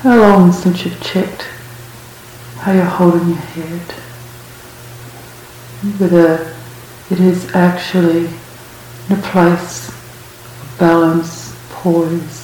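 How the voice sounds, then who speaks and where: Very slow, mellow woman guiding meditation, echoey room. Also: on the low side. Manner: calm, unhurried, with long pauses. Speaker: meditation teacher. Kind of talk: guided meditation. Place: large room at a retreat center. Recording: very echoey room, faint hiss.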